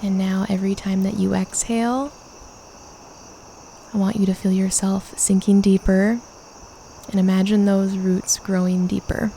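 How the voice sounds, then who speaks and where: A woman leading a guided meditation, calmly and gently instructing with unhurried pauses. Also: medium pitch, slight background noise.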